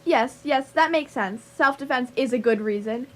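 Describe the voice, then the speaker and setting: A confident woman who runs a dojo, played by an actress in a radio comedy. Her voice is high-pitched. She is direct, patient and probing, with a flirtatious streak. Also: normal speed, natural voice. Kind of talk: radio theatre comedy acting.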